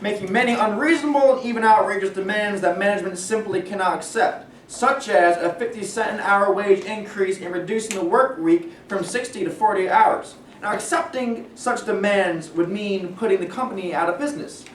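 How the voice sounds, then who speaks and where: A man in a staged play reading, playing a smooth, pressuring company businessman who persuades with veiled threats. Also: high voice, fairly quick, hardly any pauses, fairly bright tone. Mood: persuasive. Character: smooth, pressuring steel company businessman, wealthy family heir. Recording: echoey room, distant mic, faint noise.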